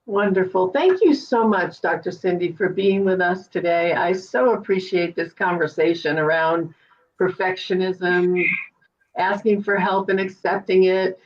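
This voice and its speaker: Woman, interview host, encouraging, effusive, somewhat low voice, dull lo-fi audio. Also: unhurried. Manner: warm, effusive thanks, encouraging. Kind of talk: interview show hosting. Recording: distant, dull lo-fi sound.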